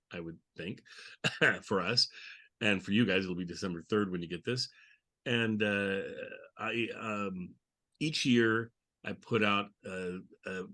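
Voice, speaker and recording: A man's voice: fairly low pitch, a bit bright. A man hosting a podcast in a casual, conversational style. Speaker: podcast host.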